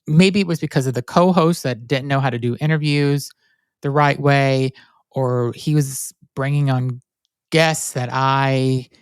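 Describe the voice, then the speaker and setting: A man's voice: medium pitch, clear, resonant tone, no room sound, studio quality. A man with a nasal voice hosting his own podcast, talking candidly and rambling with many fillers and restarts. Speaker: podcast host. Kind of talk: solo reflective podcast monologue.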